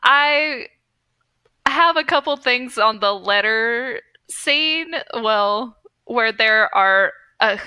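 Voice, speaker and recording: A woman's voice: on the high side, animated, drawn-out words, pushed and bright, clear, resonant tone, dry recording. A woman co-hosting a podcast, chatting casually in an informal, slangy way. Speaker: podcast co-host. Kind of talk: casual chat.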